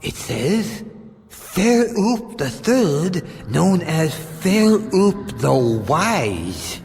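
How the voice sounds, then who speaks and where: A man's voice: sits high, very animated, very slow, darkish tone, full character voice. A man playing a small, dim, literal-minded and clumsy sidekick in a comic radio play, with a naive, innocent manner. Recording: long echoing reverb, music behind.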